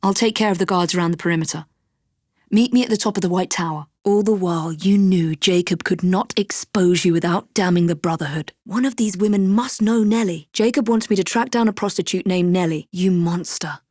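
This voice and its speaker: An actress playing a poised, determined young assassin in a Victorian London video game, with an English accent and a crisp, politely commanding delivery. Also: female voice, on the low side, fairly quick, darkish tone, no room sound, clean studio sound, lightly acted. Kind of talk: scripted game voice acting.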